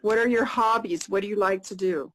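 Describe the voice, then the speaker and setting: An American woman in her fifties hosting a casual live video chat, upbeat and repeating her questions slowly and plainly. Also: medium pitch. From United States. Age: 50s.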